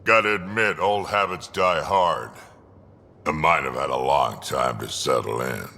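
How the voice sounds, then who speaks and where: An actor playing a gravelly, wry old alien warrior who loves a fight, in a video game. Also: male voice, very deep voice, measured pace, bright, forceful tone, heavily acted. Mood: wry, content. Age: older. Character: battle-hungry old male alien warrior, wry and tough.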